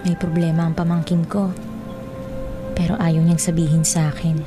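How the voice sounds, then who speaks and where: A woman's voice: lowish voice, little pitch movement, moderate pace, over music, lightly acted. A woman playing a caring, concerned aunt in a Filipino radio drama. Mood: worried, brooding. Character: concerned, caring aunt advising her niece.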